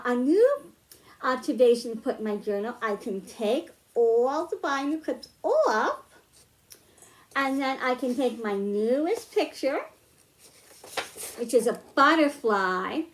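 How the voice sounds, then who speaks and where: A woman presenting a science storytime for young children, explaining things in simple, instructive phrases. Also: high-pitched. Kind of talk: children's science lesson, spoken to young viewers.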